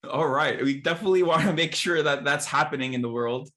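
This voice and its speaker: Bright-voiced man hosting a chat. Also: fairly high pitch. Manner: friendly, halting questions with many fillers. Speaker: podcast host and pastor. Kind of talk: casual interview chat.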